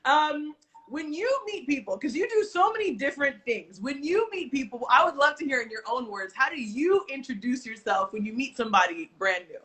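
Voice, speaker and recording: A woman's voice: high voice, lively intonation, fairly quick, pushed and bright. A woman hosting an interview, talking in a bubbly, effusive way and laughing often. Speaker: host. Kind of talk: casual interview chat.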